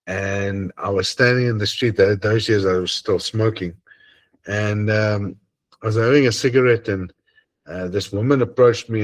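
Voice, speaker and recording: A man with an Afrikaans accent and a deep voice, hosting an online group and chatting earnestly and hesitantly over a video call. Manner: hesitant, many ums and uhs, earnest. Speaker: host of an online assembly. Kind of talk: casual closing chat on a video call.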